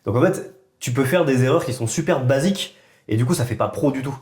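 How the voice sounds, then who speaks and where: Fast-talking man in casual chat. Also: medium pitch, roomy sound. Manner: fast, relaxed storytelling with many fillers. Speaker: podcast co-host.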